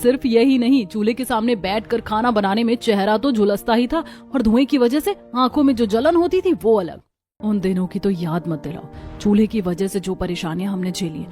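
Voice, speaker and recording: A woman voicing homely village women of one family in a cartoon story, with expressive talk. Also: medium pitch, a little fast, faint music behind, close to an everyday voice. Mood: reflective. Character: village women of a family, daughters-in-law and mother-in-law. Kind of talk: Hindi cartoon story dialogue.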